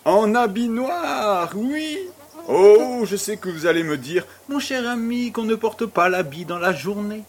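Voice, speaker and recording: A man acting as a pompous, jovial baron in a French audio drama, speaking in a ceremonious, deliberate way. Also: high-pitched, animated. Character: pompous, jovial male baron hosting a charity fête.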